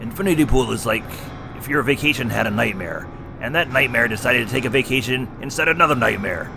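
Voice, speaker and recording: A man's voice: medium pitch, lively intonation, fast, creaky, over music. An actor playing a wisecracking male clown who co-hosts a scripted horror review. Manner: punny, teasing. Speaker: co-host. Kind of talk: scripted comedic review banter.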